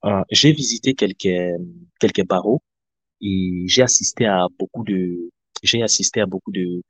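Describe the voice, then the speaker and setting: A man from Africa calling into a live discussion, speaking politely with many hesitant pauses. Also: low-pitched, slightly rough, dry recording. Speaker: guest caller.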